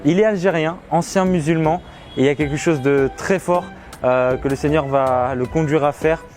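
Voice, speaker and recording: A man's voice: a little high, moderate pace, a little mellow, faint music behind. A man speaking French as an evangelist host, introducing a testimony to viewers. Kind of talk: spoken introduction to camera.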